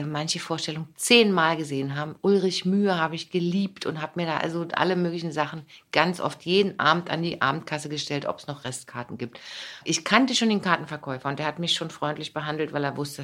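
Low-voiced reflective German woman. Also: fairly bright tone. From Germany, born in Leipzig, raised in Berlin. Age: 50s. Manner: thoughtful, philosophical, laughs often. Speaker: author, interviewee. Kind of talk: reflective interview answers.

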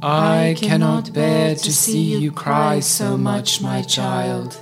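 A woman's voice: deep voice, steady tone. A woman playing the sun as a tender, solemn godlike guardian in a folktale radio play. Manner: solemn, drawn-out delivery. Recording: music loud behind voice. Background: music.

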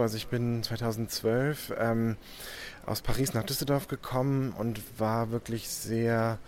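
A man's voice: lowish voice, darkish tone, a little hiss or hum. A young man from Hamburg, an artist, answering interview questions hesitantly with many filler sounds. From Hamburg, Germany.

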